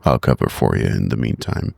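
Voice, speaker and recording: Very low, gravelly man. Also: brisk, a little mellow, dry recording. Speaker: player in a tabletop game. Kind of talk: casual in-game roleplay.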